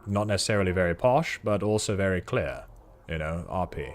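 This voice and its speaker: Man, British accent, low voice, rambling livestream chat, desk mic. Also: fairly quick, slight background noise. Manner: rambling, talks chattily to the chat. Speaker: game streamer. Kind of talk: casual livestream chat while playing.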